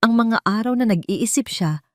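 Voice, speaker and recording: A woman's voice: on the high side, animated, moderate pace, dry recording, studio quality. A woman reading a scripted dramatic story as its narrator.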